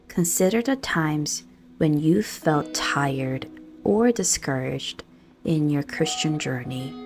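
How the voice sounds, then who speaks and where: A woman with a low voice guides a prayerful meditation. She speaks very slowly and soothingly, leaving measured pauses between phrases. Gentle guitar music plays softly behind her. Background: soft guitar music.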